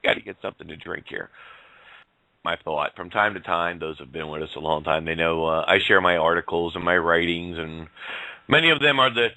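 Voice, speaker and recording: A male host of a casual call-in talk show, rambling in a folksy way and getting hoarse. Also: low-pitched, fairly quick, bright, forceful tone, no room sound, rough recording. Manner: rambling, folksy, laughs often.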